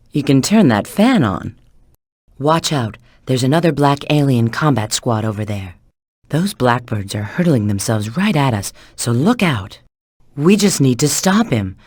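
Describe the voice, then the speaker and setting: A woman's voice: very deep voice, no room sound, studio quality, heavily acted. A woman voicing a confident, flirty female bat spy and treasure hunter in a video game, with a cool, knowing, instructive manner. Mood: urgent, alert. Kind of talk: video game character voice acting.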